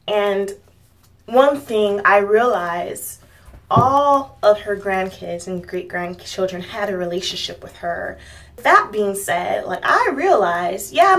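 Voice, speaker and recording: A young woman from Miami talking casually to her channel's viewers, rambling with fillers and soft laughs. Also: mid-range voice, distant mic. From Miami, United States. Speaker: vlogger. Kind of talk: casual talk to camera.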